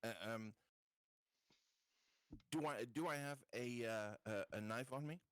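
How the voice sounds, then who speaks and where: A man playing a tabletop roleplaying game, halting and wry, slipping casually into his character's lines. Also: mid-range voice, clean tone, dry recording, clean studio sound.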